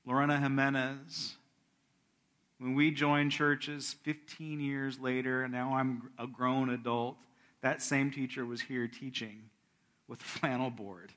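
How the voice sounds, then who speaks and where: Middle-aged pastor guy, bright voice, chatty sermon, keeps restarting his sentences. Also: mid-range voice, little pitch movement, measured pace. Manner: conversational, self-correcting, prayerful. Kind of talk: sermon with announcements and prayer.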